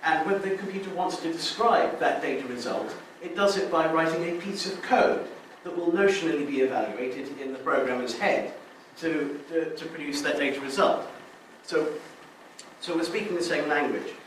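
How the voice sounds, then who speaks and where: A man's voice: a little high, moderate pace, a bit bright. Man lecturing in an echoey hall. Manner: many restarts and filler sounds, explanatory. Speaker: conference speaker. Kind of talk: technical conference talk. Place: lecture room. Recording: very echoey hall, distant mic, faint noise.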